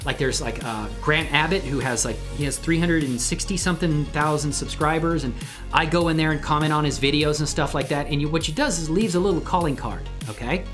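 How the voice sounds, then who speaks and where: A man's voice: fairly high pitch, a little fast. A man hosting a casual livestream, talking in an informal, enthusiastic, rambling way. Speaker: livestream host and hobby 3D animator. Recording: music faintly behind the voice. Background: music.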